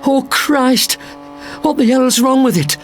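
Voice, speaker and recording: A man voicing a panicking young male hoodlum in an audio story, jabbering and shouting hysterically over music. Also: very high-pitched, lively intonation, slow, voice pushed up, full character voice. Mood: panicked.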